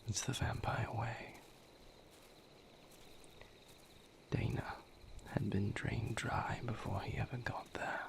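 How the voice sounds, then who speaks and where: A man playing a brooding vampire lover in an intimate audio roleplay, confiding his grim past in a halting, somber, breathy near-whisper. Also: lowish voice, fairly bright tone. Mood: grave, grieving.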